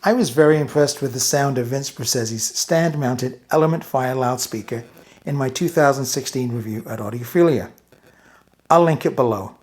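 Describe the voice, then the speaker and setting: A man's voice: mid-range voice, moderate pace. A man hosting and reviewing audio gear, speaking as an interviewer and reviewer.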